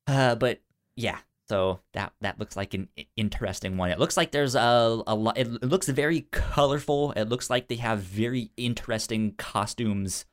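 A man co-hosting a casual movie podcast, speaking in a halting way with stammered, repeated syllables. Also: mid-range voice. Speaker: podcast co-host.

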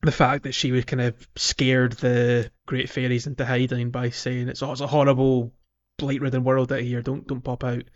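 Casting: male podcast co-host for casual game chat, rambling and understated, mid-range pitch. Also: level tone, normal speed, hardly any pauses, highs cut off. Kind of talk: casual video game discussion.

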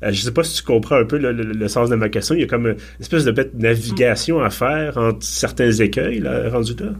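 A man's voice: mid-range voice, fairly quick, gravelly, breathy edge. A man, hosting a podcast and asking long, hesitant questions with many restarts. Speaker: podcast host. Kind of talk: casual podcast interview.

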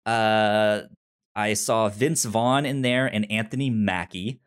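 A man with a pronounced stutter, casually pitching films as a podcast host in a halting, informal way. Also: fairly even intonation, clear, resonant tone. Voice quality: pronounced stutter with repeated initial sounds. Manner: halting, informal, hesitant phrasing.

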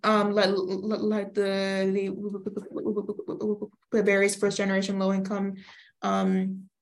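A woman's voice: mid-range voice, monotone, slow pace. A young woman, a student guest in an interview, speaking with many fillers and stuttered repetitions. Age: young adult. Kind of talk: interview answers.

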